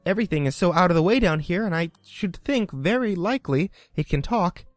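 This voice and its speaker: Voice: mid-range voice, expressive, brisk, no room sound, faint music behind, pitched above the performer's own voice, close to an everyday voice. A reader voicing a polite, chatty young girl who stumbles over her words in a storybook reading. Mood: musing.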